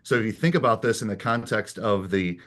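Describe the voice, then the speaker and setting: A male panelist with a fairly low voice who walks through legislation step by step. He explains the details in an analytical way rather than debating. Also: a little fast, fairly bright tone. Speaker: panel guest. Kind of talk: political panel discussion.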